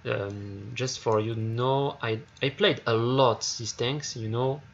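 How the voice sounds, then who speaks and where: This is a man with a French accent, hosting a casual game review in English with a mid-range pitch. He stops often to hunt for words, filling the gaps with "uh" and repeated starts. The recording is a bit dull, with a faint hiss underneath. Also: steady tone, creaky. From France. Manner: halting, searching for words, many fillers and repeated starts. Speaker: gaming YouTuber.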